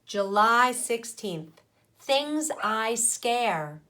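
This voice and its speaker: A woman teacher reading a picture book aloud to children, speaking deliberately with pauses between phrases and putting questions to her pupils. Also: a little high, sing-song, sharp tone. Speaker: primary school teacher.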